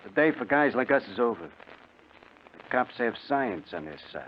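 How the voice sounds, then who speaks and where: A man's voice: medium pitch, sing-song, unhurried. An actor playing a bitter, irritable male racketeer, blunt and grumbling, in a 1950s radio drama. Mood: bitter, resigned. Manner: blunt, grumbling, tough-guy. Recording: noisy muffled old radio recording.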